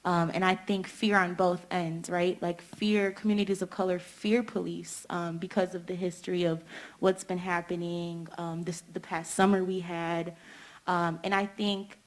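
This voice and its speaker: A woman's voice: on the low side, little pitch movement, normal speed. Earnest young woman on a panel. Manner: earnest, lots of fillers and "you know". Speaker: student panelist. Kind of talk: panel discussion.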